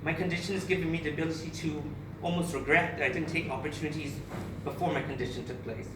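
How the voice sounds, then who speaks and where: A man's voice: on the high side, steady tone, fast. Man in his thirties speaking from afar. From Barbados. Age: 30s. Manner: candid and emotional, with frequent restarts. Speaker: speaker at a charity event. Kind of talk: personal speech to an audience. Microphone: far camera. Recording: echoey room, captured from far off with noise.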